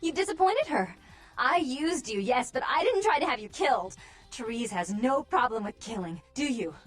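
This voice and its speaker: A woman playing a vampire with two warring personalities, stern and wild, in dramatic video game acting that swings between hushed and fierce. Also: high voice, expressive, moderate pace. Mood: cold, accusing.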